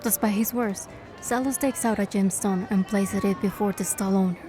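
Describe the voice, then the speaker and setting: A woman's voice: mid-range voice, fairly even intonation, normal speed, over music, natural voice. A woman voicing a composed, playful young noblewoman who narrates her fantasy game adventure in a fan dub. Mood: composed, curious. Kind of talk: first-person scripted narration and dialogue, fan dub.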